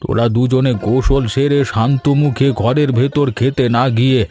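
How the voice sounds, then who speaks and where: A man voicing a patient, indulgent older village father-in-law who gently admonishes in an animated folk tale. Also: mid-range voice, normal speed, soft background music, close to an everyday voice. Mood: concerned.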